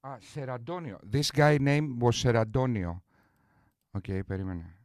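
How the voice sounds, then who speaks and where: A man's voice: medium pitch, a little fast, darkish tone, no echo, studio quality. Boastful rambling man on a stream. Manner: boastful, rambling with many restarts. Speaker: streamer host. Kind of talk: casual live-stream talk.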